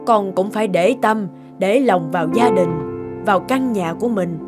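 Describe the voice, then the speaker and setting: A woman plays an older mother in an animated cartoon, devoted and shrewd. She talks in a middle register, chatty and gently admonishing. Music plays loudly behind the voice. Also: mid-range voice, normal speed, lightly acted. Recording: loud background music over voice.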